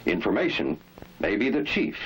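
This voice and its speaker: A man's voice: fairly low pitch, big pitch swings, normal speed, husky, poor audio. A man narrating a 1960s instructional film in an emphatic, authoritative, rhetorical manner. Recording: old 1960s film soundtrack, loud music. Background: loud music.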